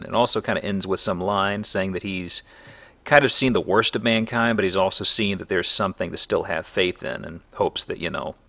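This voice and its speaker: A man with a low, gravelly voice and a forceful edge commentates casually over a video game. He talks conversationally as host, tossing in wry, jokey riffs. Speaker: let's play host.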